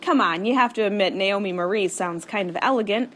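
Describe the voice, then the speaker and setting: A woman reading an audiobook, voicing a dramatic young girl who talks in a showy, drawn-out way. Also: mid-range voice, slight background noise, lightly acted. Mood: playful. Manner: theatrical, drawn-out.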